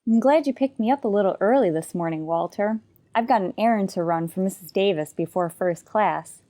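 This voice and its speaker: A woman playing a wry, sardonic high school English teacher in an old-time radio sitcom, delivering dry wisecracks. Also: a little fast, dark tone, lightly acted. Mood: brisk, wry.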